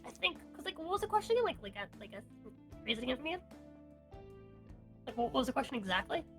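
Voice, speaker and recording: A young woman with a high, bright, forceful voice chats in a loose, giggly way. She swears freely and gets excitable as she talks. Spanish is her first language, and she comes from a Cuban family in the US. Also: very fast, faint music behind. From United States, of Cuban parents. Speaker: online streamer being interviewed. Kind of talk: casual chat.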